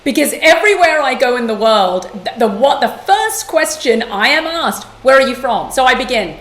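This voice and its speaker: A middle-aged woman from London, speaking as an interview guest in a passionate, emphatic way with quick restarts. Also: high-pitched, expressive, a bit bright, echoey room. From London, England. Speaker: guest author and yoga teacher. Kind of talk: conversational interview.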